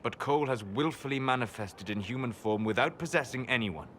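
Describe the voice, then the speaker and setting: An actor playing a scholarly, fascinated expert on spirits in a video game, explaining in a precise manner. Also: male voice, mid-range voice, lively intonation, fairly quick, fairly bright tone, slight background noise, lightly acted. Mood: intrigued. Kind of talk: video game character acting.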